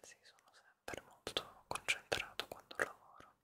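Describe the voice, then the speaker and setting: A man whispers soothingly and breathily in an ASMR barber roleplay, reassuring and softly repeating words, close to the microphone. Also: measured pace. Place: small dim room indoors. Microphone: close studio microphone in front of him.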